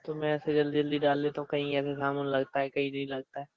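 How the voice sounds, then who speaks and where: Dull phone-call recording, flirty even-toned man. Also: fairly high pitch, fast, smooth, no room sound. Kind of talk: flirtatious casual phone chat.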